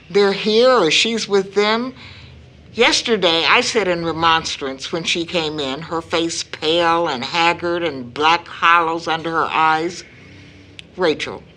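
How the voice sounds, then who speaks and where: A woman playing a worried, grave middle-aged mother in an audio drama, speaking earnestly and deliberately. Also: on the low side, sharp tone.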